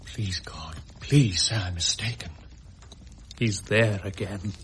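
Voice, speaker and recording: An actor playing an anxious gentleman guest in a period haunted house audio drama. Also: fairly low pitch, slow, slight background noise. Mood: terrified.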